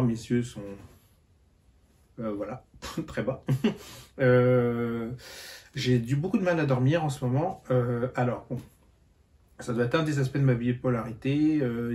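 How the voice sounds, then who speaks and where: A tired man giving a relaxed reading update to his viewers, with a voice of ordinary pitch. He talks hesitantly, pausing often on fillers and restarting his sentences. The whole talk has a loose, casual vlog feel. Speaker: book vlogger.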